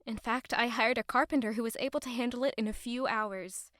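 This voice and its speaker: A woman's voice: fairly high pitch, steady tone, brisk, a bit bright, no echo, clean studio sound, close to an everyday voice. An actress playing a kind, earnest royal woman in a reflective audio drama. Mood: reflective, content.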